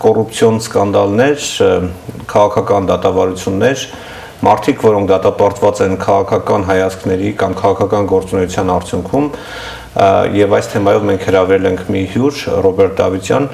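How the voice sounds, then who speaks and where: Armenian-accented male TV host, fast-talking and gravelly, filler-heavy English. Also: sits low, darkish tone. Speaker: TV talk show host. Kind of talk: studio interview.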